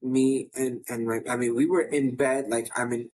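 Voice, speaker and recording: Chatty deep-voiced man rambling to camera. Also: fairly even intonation, moderate pace, a little mellow, rough recording. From New York. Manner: chatty, rambling, self-interrupting. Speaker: host of his own online show. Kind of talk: casual talking-to-camera update.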